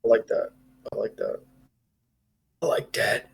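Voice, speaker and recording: A man co-hosting a live stream in casual, slangy chat. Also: measured pace.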